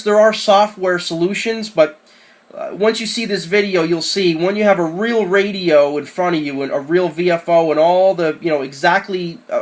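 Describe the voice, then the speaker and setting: A man chatting casually and enthusiastically as an amateur radio operator during a radio contact. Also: a little high.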